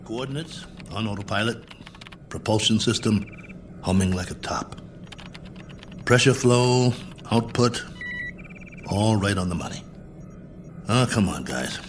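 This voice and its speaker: Low-voiced lonely astronaut, music behind. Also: male voice, expressive, a little slow, pauses often. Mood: dry, routine. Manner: wry, musing, self-mocking. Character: lonely, bored male astronaut colonel, lone probe pilot. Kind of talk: radio drama acting, spoken log entries.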